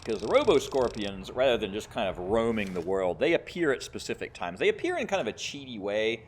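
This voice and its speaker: A man's voice: on the low side, very animated, a little fast, a little hiss or hum. A man giving casual video game commentary, thinking aloud and explaining as he plays. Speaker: gamer playing and commentating.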